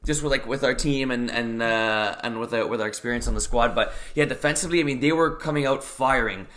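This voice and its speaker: Young male co-host, bright tone, easygoing and hesitant delivery. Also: mid-range voice, fairly quick. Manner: relaxed, hesitant with many fillers. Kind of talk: casual sports recap chat.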